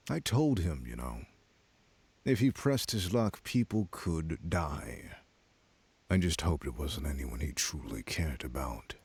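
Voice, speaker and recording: Deep, husky crime boss. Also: male voice, darkish tone, dry recording, exaggerated voice. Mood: ominous. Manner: condescending, measured, cryptic. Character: sinister, smug crime boss abducting people for talks. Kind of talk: scripted video game voice acting.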